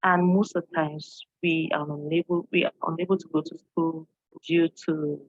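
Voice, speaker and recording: A woman's voice: low voice, flat delivery, normal speed, dry recording, highs cut off. A woman from Cameroon, an English teacher, giving an earnest online presentation and posing questions to her audience, with frequent fillers.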